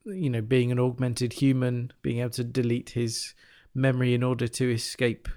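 Creaky-voiced, hesitant man reviewing casually. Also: mid-range voice, little pitch movement, dark tone. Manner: hesitant, thoughtful, halting. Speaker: podcast panellist.

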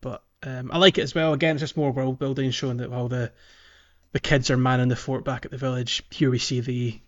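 A man's voice: mid-range voice, level tone, fairly quick, muffled recording. A man co-hosting a casual video game podcast, talking in a hesitant way as he searches for his words. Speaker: podcast co-host.

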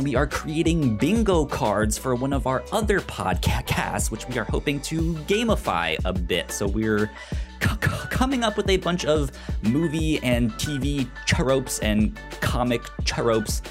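A man hosting a casual podcast, chatting and reading announcements, sometimes tripping over and repeating words. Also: mid-range voice. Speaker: podcast host. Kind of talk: casual podcast interview and sponsor read.

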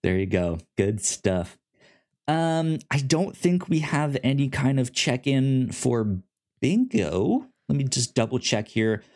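Animated, rambling male podcast host. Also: mid-range voice, slow. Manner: rambling, many restarts and stammers, animated. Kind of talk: casual chat.

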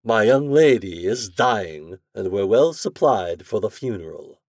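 A man voicing a brusque, dismissive butler in a storybook reading, rattling off clipped, loud refusals. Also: lowish voice, lively intonation, a little slow, dry recording, clean studio sound. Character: curt, brusque older male butler.

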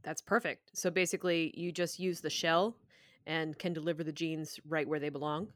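A woman's voice: low-pitched, level tone, moderate pace, dry recording, clean studio sound. A woman hosting a podcast, moving between scripted introduction and conversational interview questions.

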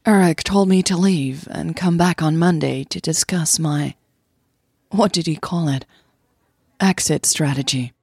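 A woman acts out a young woman lover in a romantic audio drama. Her voice is low and husky, and she talks slowly, with hesitant, candid sincerity. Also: darkish tone, no room sound, clean studio sound, exaggerated voice. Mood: hesitant, reflective.

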